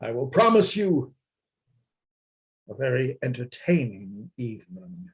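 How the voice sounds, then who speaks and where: A man reading the part of a proud, secretive anarchist in a radio play, in a halting, solemn and formal manner over a video call. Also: medium pitch, big pitch swings. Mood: solemn, conspiratorial. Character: proud, offended male anarchist, secretive and grandiose.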